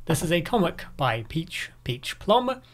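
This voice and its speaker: A woman's voice: fairly low pitch, big pitch swings, measured pace. A woman co-hosting a comic reading, making dry, matter-of-fact remarks. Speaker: co-host reading a comic dub. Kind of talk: casual chat.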